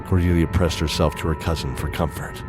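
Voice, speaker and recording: Male fantasy narrator: low register, measured dramatic storytelling, deliberate pauses, underscored by music. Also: steady tone.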